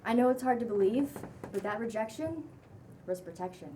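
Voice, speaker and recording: A calm guru in their thirties played by a woman gives earnest, measured motivational talk, friendly but stern. The tone is mellow and soft-edged, picked up from a distance with faint room noise. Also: fairly high pitch, lightly acted. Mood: stern, soothing. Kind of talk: cold table read of a pilot script.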